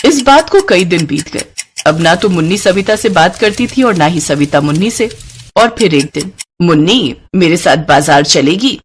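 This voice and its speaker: A woman's voice: on the low side, animated, moderate pace, slight background noise. A woman storyteller reading scripted narration for a moral tale.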